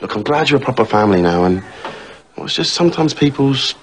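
A man's voice: medium pitch, expressive, fast talker, close to an everyday voice. A man acting an earnest, apologetic young man in a television drama, with an English accent.

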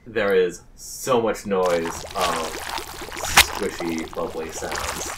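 A man runs a tabletop game in a low voice. He narrates slowly and haltingly, with amused asides, sitting a little back from the mic. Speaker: game master. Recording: slightly distant mic, faint noise.

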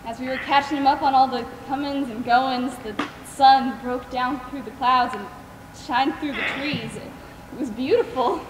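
A high-pitched, folksy Southern woman, tender and devout, acted by a woman in a stage play. She is heard from far off in an echoey theater with clear background noise. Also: steady tone, measured pace. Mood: warm, reflective. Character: kind, devout Southern woman caring for the dying. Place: large theater stage, recorded by far camera.

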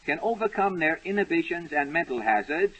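Male mock-newsreel announcer, husky lively high voice, vintage muffled broadcast, brass music. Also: brisk. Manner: dramatic, declaiming. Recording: dull 1930s radio recording with music.

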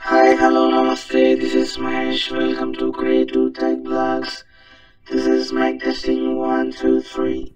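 A musical synth robot doing a mic test. The voice is extremely high and slowly paced, electronic and tuneful. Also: male voice, completely flat delivery, loud music behind the voice, full character voice, poor audio. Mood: musical greeting. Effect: vocoder.

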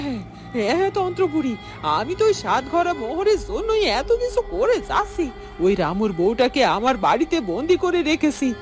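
Shrill, greedy village schemer. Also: female voice. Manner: wheedling, excitable, repeats herself. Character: greedy, scheming, flattering village headman's wife. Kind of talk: Bengali fairy-tale cartoon acting.

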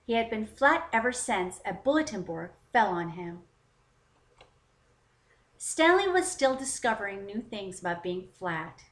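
A woman hosting a children's story time, narrating in an expressive, deliberate read-aloud style. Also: medium pitch. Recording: roomy, echoing voice recording.

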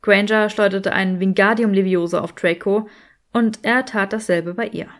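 A woman reading a story as an audiobook narrator, in an expressive scripted style. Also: medium pitch, moderate pace.

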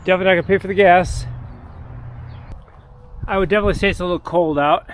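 A man's voice: high voice, steady tone, quick pace, slight background noise. A middle-aged man casually narrating his metal detecting outdoors in parks, thinking aloud with many fillers, recorded on an action camera. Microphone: action camera held or chest-mounted.

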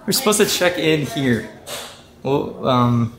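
A man's voice: mid-range voice, lively intonation, slow, a crowd in the background. A young man chatting upbeat on a travel vlog aboard a cruise ship, filmed on a handheld camera. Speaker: vlogger. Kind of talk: casual travel vlog chat. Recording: background music over the voice.

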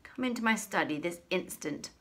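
A woman voicing a pompous, furious headmistress who barks and booms her orders. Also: expressive, moderate pace, fairly bright tone. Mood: stern. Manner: barking, booming, curt. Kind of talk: storybook read-aloud.

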